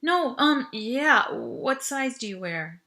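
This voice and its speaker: Woman voicing an awkward, bright-voiced teenage boy. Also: high-pitched, very animated, voice pushed up, full character voice. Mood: earnest. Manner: halting, self-conscious, eager to reassure. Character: awkward, earnest lovestruck teenage boy in sci-fi story.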